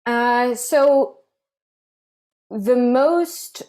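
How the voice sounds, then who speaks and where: A woman voice clinician giving measured, explanatory interview answers with frequent fillers. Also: on the high side, darkish tone. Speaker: voice clinical specialist.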